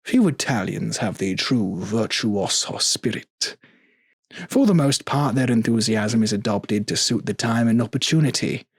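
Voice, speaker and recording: A man narrating a gothic short story in a deliberate, theatrical style. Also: lowish voice, husky, no room sound, clean studio sound.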